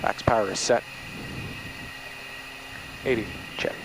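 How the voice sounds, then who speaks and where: A man's voice: fairly low pitch, fairly even intonation, slow. A man working as an airline first officer, giving clipped, procedural but easygoing checklist and radio calls over an aviation headset. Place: small turboprop cockpit in flight. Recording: noisy aircraft intercom feed with engine drone.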